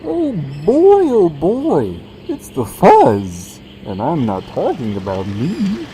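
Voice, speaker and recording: A woman's voice: deep voice, huge pitch swings, takes it slowly, full character voice. A woman voicing a shady bear driver who turns out to be a man in a bear suit, answering a traffic cop with smug, evasive defiance in a comedy sketch.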